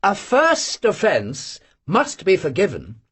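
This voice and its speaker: Man doing a playful young gentleman. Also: high-pitched, big pitch swings, a little slow, pitched above the performer's own voice, heavily acted. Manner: playful, light.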